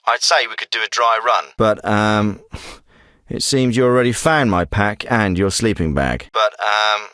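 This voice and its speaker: A man's voice: on the low side, unhurried, sharp tone, no room sound. A man voicing a businesslike military intelligence handler in a video game, giving mission briefings with clipped, purposeful delivery. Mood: instructive.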